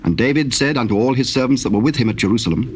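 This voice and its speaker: Solemn British male scripture narrator. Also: mid-range voice. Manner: formal, measured storytelling. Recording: noisy old cassette tape with ambience.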